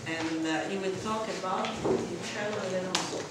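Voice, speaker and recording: A woman's voice: fairly low pitch, normal speed, distant mic. Woman, introducing a speaker in a noisy echoey hall. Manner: informal, with fillers. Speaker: seminar host.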